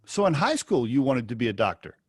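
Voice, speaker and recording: A man's voice: medium pitch, sing-song, brisk, darkish tone, breathy edge, dry recording, clean studio sound. A man hosting a podcast interview over a video call, chatty and asking rambling questions. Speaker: podcast host. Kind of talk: casual interview.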